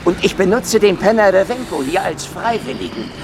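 A man's voice: sits high, expressive, moderate pace, noisy background. A man playing a hardboiled, cocky Soviet secret police investigator in a radio crime drama, with a sardonic, menacing, taunting manner. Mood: taunting menace. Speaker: lead actor and first-person narrator.

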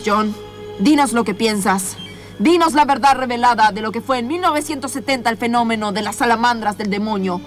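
A woman's voice: on the high side, lightly acted. A woman playing a theatrical, suspenseful host of a paranormal mysteries show in improvised radio comedy. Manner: theatrical, suspenseful, rhetorical repetition. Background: music bed.

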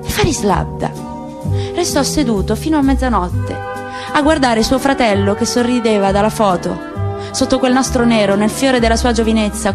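A woman narrates a literary text for a radio theatre program, reading in a slow and grave way. Her voice sits high, and a radio broadcast music bed plays clearly behind her.

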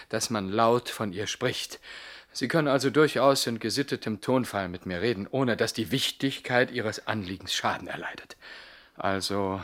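A man playing a pompous, sharp-voiced police inspector. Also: mid-range voice, natural voice. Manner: pedantic, pompous, stilted. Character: pedantic, long-suffering, frail-nerved police inspector. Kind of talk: 1960s German radio comedy acting.

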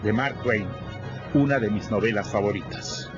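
A man's voice: lowish voice, normal speed. A man with a Peruvian accent announcing the program in a formal way. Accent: Peruvian Spanish. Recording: dull audio with loud music.

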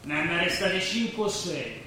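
A man's voice: high-pitched, big pitch swings, moderate pace, pushed and bright, heavily acted. A man acting in an Italian stage drama, playing a blunt, wry working-class labourer. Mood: rough, urgent. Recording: very echoey hall, distant, faint noise.

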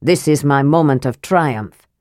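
A woman's voice: low-pitched, a little slow, a little mellow, no room sound, clean studio sound, pitched below the performer's own voice. A woman voicing a proud, solemn male dwarf leader who speaks in a stately, grave way.

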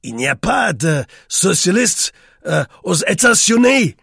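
A man's voice: high voice, big pitch swings, vocal fry, fairly bright tone, slightly rough, no room sound, clean studio sound, pitched above the performer's own voice, heavily acted. A man voicing a young woman with a hesitant, doubtful manner in an audiobook. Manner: doubtful, halting speech. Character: hesitant, giggly young American woman, helpless, friendly.

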